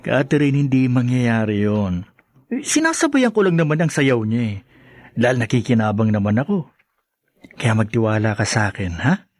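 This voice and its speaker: Self-serving Filipino husband, radio drama: breezy, wheedling, animated delivery, dry close sound. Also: male voice, medium pitch, unhurried, dry recording. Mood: glib, coaxing. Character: glib, self-serving freeloading husband, smooth-talking.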